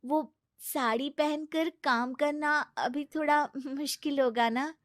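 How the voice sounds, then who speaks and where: Woman as a high-voiced, meek young bride. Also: fairly even intonation, takes it slowly, no echo, clean studio sound. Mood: meek, earnest. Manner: meek, earnest, respectful. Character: young, polite, eager new daughter-in-law.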